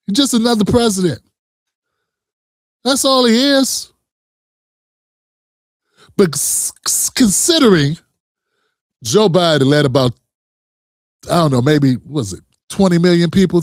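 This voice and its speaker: A man's voice: on the high side, very animated, normal speed, long pauses, dry recording, studio quality. A man hosting a reaction commentary, talking in a heated, emphatic way with frequent profanity and direct address to viewers. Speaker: commentary host.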